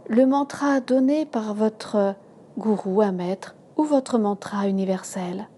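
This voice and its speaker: A woman yoga instructor leading a guided relaxation in a calm, measured manner, giving instructions in short phrases. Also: medium pitch. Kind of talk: slow guided relaxation meditation.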